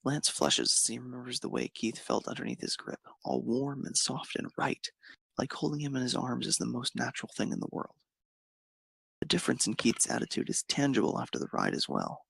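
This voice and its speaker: A man narrates romantic fan fiction with expression. His mid-pitched voice is strongly creaky and husky. The sound is dry, with no room around it. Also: sing-song, fairly quick, a bit bright, no room sound.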